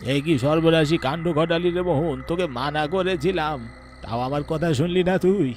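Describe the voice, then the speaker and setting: A man voicing a stern elderly village grandfather in an animated horror story. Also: on the high side, normal speed, very noisy, voice pushed up, close to an everyday voice. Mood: scolding, alarmed. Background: music.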